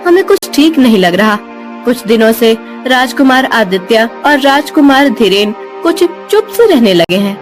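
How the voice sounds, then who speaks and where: A woman's voice: on the high side, big pitch swings, a little slow, faint music behind. A woman voicing polite, earnest queens in a Hindi fairy tale cartoon. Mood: worried.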